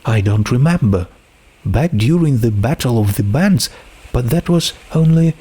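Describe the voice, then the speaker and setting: Anxious teen girl, read by a man, storybook drama; unhurried, halting, emotionally exposed. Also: mid-range voice, animated, mellow, breathy edge, voice pushed up. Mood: wistful, hesitant. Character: troubled, anxious teenage girl confiding a secret. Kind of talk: dramatic fan fiction reading.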